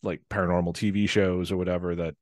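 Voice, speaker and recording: A man's voice: sits low, level tone, fast, a little mellow, dry recording, clean studio sound. A man in his forties with a nasal voice, chatting as a podcast guest over a video call. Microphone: headset and podcast microphone on video call.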